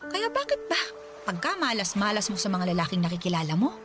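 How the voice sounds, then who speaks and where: A woman with a Filipino accent playing a protective, teasing, expressive widowed mother in a Tagalog radio drama. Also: mid-range voice, fast talker, over music. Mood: concerned, teasing. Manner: expressive, motherly, half-joking menace.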